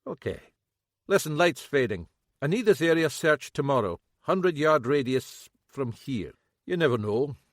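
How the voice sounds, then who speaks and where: A calm older inspector, as a male narrator plays him, understated and dry-witted. His delivery is level and controlled with a lively pitch range, in a dry, close recording. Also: a little slow, no room sound.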